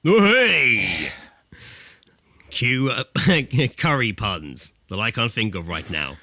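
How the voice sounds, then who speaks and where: A man's voice: medium pitch, sing-song, slow, gravelly, bright, forceful tone, no echo, studio quality. A British man co-hosting a comedy podcast in casual, sweary, jokey chat. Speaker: podcast host. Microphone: remote internet call recording.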